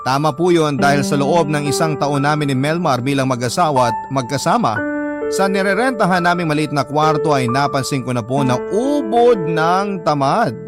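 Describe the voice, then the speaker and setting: A man with a Filipino accent reading a listener's letter as the scripted narration of a radio drama. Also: a little high.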